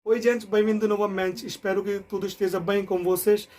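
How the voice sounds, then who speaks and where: A man's voice: sits high, flat delivery, a little mellow. Young Indian man speaking learner's Portuguese. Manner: learner's Portuguese, keeps checking in with "entende?"; halting, frequent restarts and self-corrections. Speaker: vlogger. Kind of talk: casual explainer to camera.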